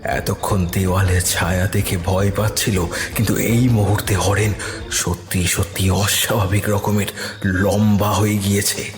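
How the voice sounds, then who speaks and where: A man narrating a scripted horror story dramatically, with suspenseful, deliberate pauses. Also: deep voice, level tone.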